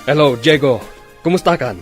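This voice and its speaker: A man acting a brisk, commanding superior in a radio drama, with a Filipino accent. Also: on the high side, faint music behind, lightly acted. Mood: brisk, genial.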